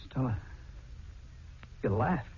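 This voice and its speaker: A man's voice: mid-range voice, animated, takes it slowly. An actor playing an intense, wounded, lovestruck young man in a 1940s radio drama. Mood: hurt. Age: young adult. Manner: intense, emotional. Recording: noisy dull 1940s radio recording.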